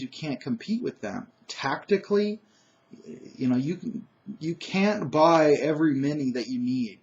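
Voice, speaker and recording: Loud, emphatic man giving opinions. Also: medium pitch, highs cut off. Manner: emphatic, opinionated, with frequent fillers and restarts. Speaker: gaming commentator. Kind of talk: solo opinion monologue.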